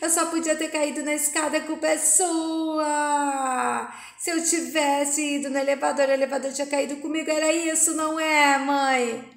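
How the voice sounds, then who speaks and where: A distraught young woman, voiced by a woman reader, shouts and sobs her accusations in a high, strained voice. The sound carries a roomy echo. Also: level tone, moderate pace. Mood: furious crying. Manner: shouting accusations through tears. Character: young woman, furious and sobbing after childbirth.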